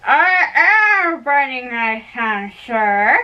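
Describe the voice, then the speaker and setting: A woman giving an amateur dramatic reading of a play, performing all the parts in a theatrical, halting way. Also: sits high, sing-song, lo-fi sound. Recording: distant microphone.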